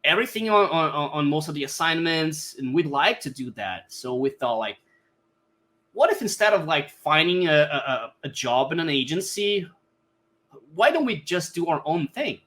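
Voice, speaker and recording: Bright-voiced Brazilian man, halting speech. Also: on the high side, very animated. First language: Portuguese. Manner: halting, many "like" fillers and restarts. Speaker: podcast guest, brand designer. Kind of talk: casual interview storytelling.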